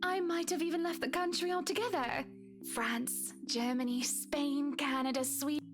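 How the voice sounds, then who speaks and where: An actress playing a polite, wistful, softly melancholy young wealthy wife in a visual novel game. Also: female voice, high-pitched, steady tone, moderate pace, over music, natural voice.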